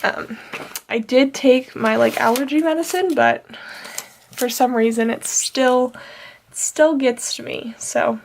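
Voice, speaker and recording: A woman's voice: high-pitched, slow, vocal fry, slight background noise. A young woman with a nasal, stuffed-up voice, chatting casually and rambling with fillers while she plans. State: nasal from allergies. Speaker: planner vlogger. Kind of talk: casual plan-with-me chat.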